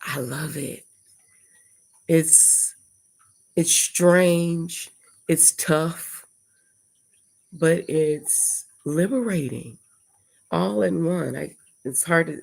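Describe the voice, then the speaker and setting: A woman's voice: sits low, creaky, darkish tone, breathy edge. An older American woman hosting a casual livestream at home, rambling and reflective as she talks through long lists of thoughts. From United States. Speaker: livestream host. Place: indoors at home before dawn.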